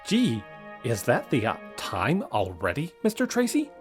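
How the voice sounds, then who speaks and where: A man's voice: mid-range voice, wildly swooping pitch, measured pace. Nervous brainy scientist voiced by a man, hesitant stammer, courteous, audio adventure story, faint music behind. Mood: hesitant, polite.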